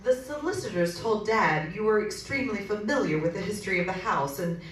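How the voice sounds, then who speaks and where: A woman's voice: medium pitch, expressive, a little fast, natural voice. An actress playing a polite, earnest young daughter of a rich man in an amateur radio drama. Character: well-mannered grown daughter of a rich man. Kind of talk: amateur old-time radio drama acting. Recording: echoey, distant, faintly noisy room.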